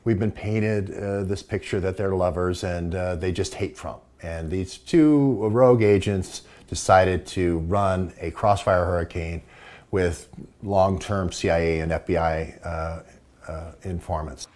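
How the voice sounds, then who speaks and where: A man's voice: sits low, steady tone, measured pace, darkish tone. A man hosting a show, giving casual commentary that explains and speculates. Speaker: show host.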